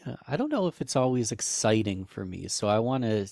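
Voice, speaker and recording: A man's voice: big pitch swings, brisk, gravelly, darkish tone, no room sound, studio quality. A man guest on a podcast, talking candidly and self-deprecatingly in casual chat, rambling with restarts. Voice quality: higher-pitched for a man.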